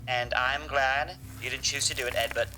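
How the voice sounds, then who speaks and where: A man's voice: mid-range voice, steady tone, normal speed, sharp tone, poor audio. A man playing a weary but resolute young friend in an audio drama, earnest and wry. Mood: weary resolve.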